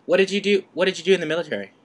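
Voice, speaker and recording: A man's voice: high-pitched, racing. A man hosting an interview, asking long rambling questions in a chatty way and laughing easily. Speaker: host. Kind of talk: casual interview chat.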